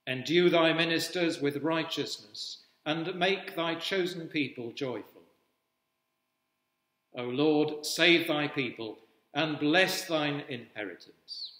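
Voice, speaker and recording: Casting: male priest, 60s, bright forceful voice on the higher side, formal liturgical reading, echoing church acoustic. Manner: solemn, measured, formal. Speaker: Anglican priest leading evening prayer. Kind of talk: liturgical reading of traditional prayers. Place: indoors at a wooden-panelled cathedral altar. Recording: echoey church with long reverb.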